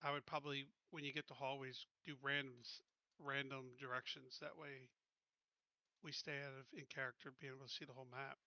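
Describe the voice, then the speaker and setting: A man's voice: mid-range voice, little pitch movement, fairly quick, pushed and bright, dry recording, muffled recording. A man playing a tabletop game, casually organizing and directing the other players. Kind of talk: casual game strategy talk.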